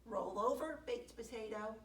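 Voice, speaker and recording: Woman voicing a loving female pet owner, warm and doting, storybook. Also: fairly high pitch, normal speed. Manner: fond and exclaiming. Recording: echoey room, voice from far off.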